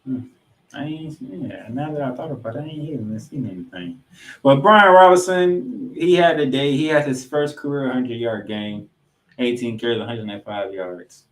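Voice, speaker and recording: A man's voice: mid-range voice, moderate pace, fairly bright tone, rough recording. A man co-hosting a sports podcast, giving football recaps with emphatic, announcer-like delivery of scores and stats mixed with casual banter.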